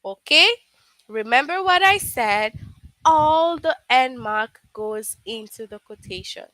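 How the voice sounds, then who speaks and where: A woman's voice: a little high, expressive, slow pace, pushed and bright, no room sound, poor audio. A woman teaching a live online grammar lesson, patiently checking understanding with frequent "okay?".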